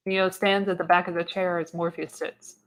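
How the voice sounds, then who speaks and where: A woman's voice: on the low side, level tone, moderate pace, poor audio. A woman narrating the stage directions of a screenplay in a radio play reading. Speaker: narrator, podcast host.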